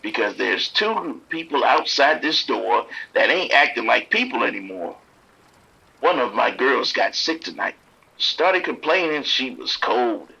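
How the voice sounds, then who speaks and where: An actor playing a streetwise pimp trapped in a motel bathroom, blunt and grudging with the police. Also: male voice, mid-range voice, big pitch swings, unhurried, vocal fry, sharp tone, slightly rough, muffled recording, full character voice. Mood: shaken. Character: streetwise male pimp hiding from attackers, bitter toward police.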